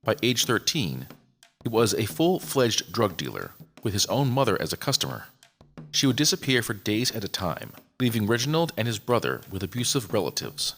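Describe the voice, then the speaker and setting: A man's voice: lowish voice, sing-song, normal speed, a bit bright, soft background music. A man reading a scripted true-crime story as a podcast narrator.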